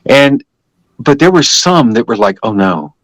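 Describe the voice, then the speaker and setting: A man's voice: medium pitch, very animated, a little slow, fairly bright tone, no echo, rough recording. An older man giving a personal religious testimony as a guest, telling it in an emphatic, repetitive, conversational way.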